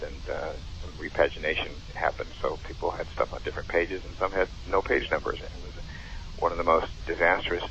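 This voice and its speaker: A man casually recollecting as a show director, in a halting, rambling manner. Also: rumbling low, gravelly, a bit bright. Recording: dull, faintly noisy recording.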